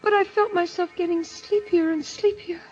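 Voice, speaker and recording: A woman's voice: extremely high pitch, steady tone, normal speed. A woman playing a terrified wife in an old radio mystery, anguished and pleading as she speaks. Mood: tense dread. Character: terrified wife recounting a nightmare, pleading for her life. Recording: dull vintage radio recording with music.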